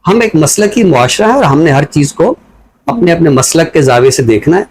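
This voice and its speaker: Deliberate Pakistani man explaining his views. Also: fairly high pitch, expressive, slight background noise. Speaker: literary talk show commentator. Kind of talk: reflective talk show commentary.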